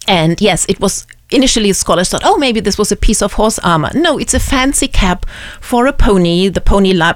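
A woman's voice: mid-range voice, no room sound, studio quality. A woman with a German accent, a museum curator who answers interview questions thoughtfully, with many ums and restarts.

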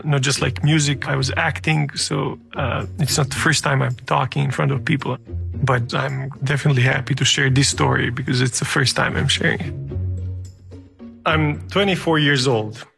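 A man from Serbia speaks with a Serbian accent and a gravelly edge. He talks about himself haltingly, with soft music playing behind him. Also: a little high. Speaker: storyteller, musician and teacher. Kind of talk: interview answers and personal story.

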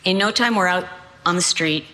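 A woman in her sixties from Cuba, raised in New England, telling a personal story with deliberate phrasing. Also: on the low side, pushed and bright. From born in Cuba, raised in New England. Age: 60s.

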